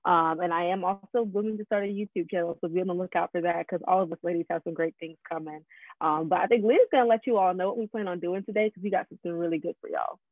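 A woman's voice: lowish voice, quick pace, no echo. A young woman co-hosting a podcast, chatting in an upbeat way with lots of ums. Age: young adult. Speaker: podcast co-host. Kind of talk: casual podcast chat. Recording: tinny phone-like line, dry.

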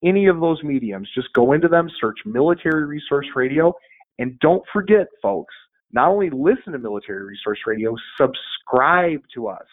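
A man's voice: a little high, animated, moderate pace. A man guesting on a podcast, talking in a casual, upbeat way, often repeating words as he plugs his show. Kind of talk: casual promotional interview talk. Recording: phone-like band-limited audio.

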